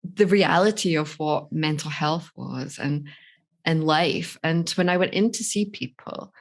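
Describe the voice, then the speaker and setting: Low-voiced Scottish woman telling her story. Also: measured pace. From Scotland. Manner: reflective, candid, laughs easily. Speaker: life coach guest. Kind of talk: podcast interview, personal story.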